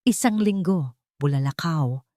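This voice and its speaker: A cold male crime boss played by a woman narrator delivers threats in an audio story. The voice is on the low side for a woman and stays emotionless and menacing. The recording is close and dry. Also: animated, measured pace, mellow, no echo.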